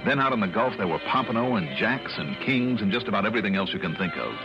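An actor playing a keen, steady American investigator who narrates his own case in a 1960s radio drama, crisp and dramatic. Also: fairly low pitch, quick pace, music in the background. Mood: upbeat, contented. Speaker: lead character and narrator. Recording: muffled vintage radio recording.

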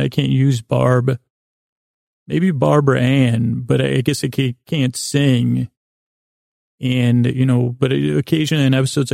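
A man hosting a bedtime podcast in a rambling, whimsical monologue, meandering and stumbling over words, with a gravelly, creaky voice. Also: mid-range voice, darkish tone, no room sound, clean studio sound. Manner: silly, whimsical, soothing intent. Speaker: podcast host.

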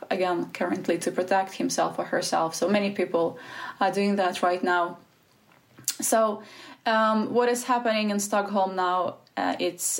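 Earnest woman, halting speech. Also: mid-range voice. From Ukraine. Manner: earnest, halting, with many restarts and fillers. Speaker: guest, spokesperson for a Ukrainian organisation. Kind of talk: interview answers.

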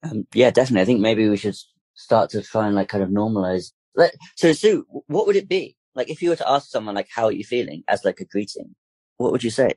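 Guy with a lowish voice rambling through an interview, dry close sound. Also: expressive, quick pace, darkish tone, breathy edge, no room sound. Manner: rambling, full of fillers and restarts. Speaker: guest campaigner. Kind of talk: casual interview chat.

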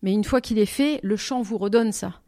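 A woman singer answering interview questions in a thoughtful way, pausing and rephrasing often as she searches for words. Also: mid-range voice, dark tone. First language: French. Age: over twenty. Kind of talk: reflective interview talk.

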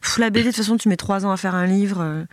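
A woman's voice: medium pitch, fast talker, dry recording. Chatty woman with a head cold. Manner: chatty, swears casually, acts out other people's voices. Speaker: podcast guest. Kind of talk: casual chat.

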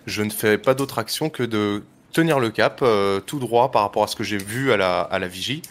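Low-voiced man, halting player. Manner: halting, full of fillers, describes actions step by step. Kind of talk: casual tabletop roleplay chat.

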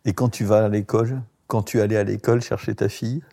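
Hôte de podcast, homme, timbre sombre et feutré, ton familier, relances brèves. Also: male voice, fairly low pitch, level tone, quick pace, gravelly, dark tone. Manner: informal, lots of short backchannel agreement. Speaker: podcast host. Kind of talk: conversational interview.

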